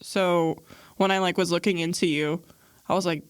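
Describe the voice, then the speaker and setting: A woman's voice: lowish voice, steady tone, normal speed, clear, resonant tone, no room sound. A young woman hosting a casual podcast interview, chatty and slangy, laughing often. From Iraqi family. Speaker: podcast host.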